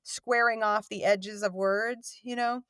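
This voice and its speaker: A woman voice actor chatting in an interview, rambling and self-deprecating, with a warm voice that has a slight gravel to it. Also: medium pitch, fairly even intonation, unhurried, dry recording, studio quality. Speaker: voice actor guest. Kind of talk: casual interview chat. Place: home recording booth.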